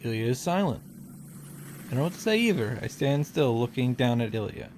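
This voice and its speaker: A man's voice: mid-range voice, big pitch swings, brisk, darkish tone, a little hiss or hum. A man reading a visual novel aloud and reacting casually, often restarting and repeating himself as he goes.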